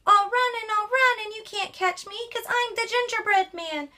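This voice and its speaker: A woman's voice: extremely high pitch, moderate pace, pushed and bright, pitched above the performer's own voice. A woman voicing a cocky little runaway gingerbread man who chants his boasts in a sing-song way. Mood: boastful.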